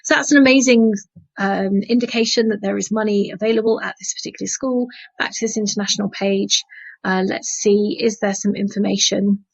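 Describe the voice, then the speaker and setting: A woman's voice: medium pitch, fairly even intonation, brisk, gravelly, lo-fi sound. A woman talking students through a website on a video call, chatty and full of fillers. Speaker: panel speaker guiding students. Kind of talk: screen-share walkthrough.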